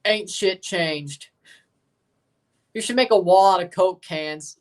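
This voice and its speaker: A man's voice: high-pitched, takes it slowly, distant mic. Laid-back Southern man, a bit off-mic. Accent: Southern US, Mississippi. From Mississippi, US. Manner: laid-back, rambling, thinks aloud. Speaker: livestream host reacting to a match. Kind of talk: casual livestream chat, answering viewer comments.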